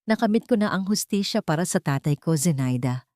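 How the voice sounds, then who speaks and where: Woman narrator as a quietly resolute wronged mother. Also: on the low side, animated, no room sound, clean studio sound, natural voice. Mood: quiet resolve. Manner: quiet, firm, deliberate. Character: wronged, resolute mother facing her enemy.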